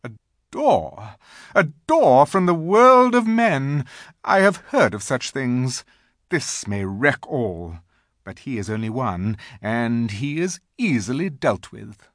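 A man voicing an imperious, menacing witch queen in an audiobook, haughty and commanding, with drawn-out emphatic words and a streak of false sweetness. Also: medium pitch, sing-song, a bit bright, no echo, studio quality, voice pushed up, heavily acted. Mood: scheming. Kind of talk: audiobook character voice.

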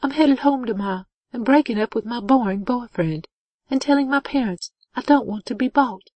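A woman's voice: mid-range voice, animated, measured pace, darkish tone, breathy edge, no echo, highs cut off, voice pushed up. A woman voicing an insecure, emotional young woman, a naive heroine in a romance audiobook. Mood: tearful, flustered. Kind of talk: audiobook character dialogue.

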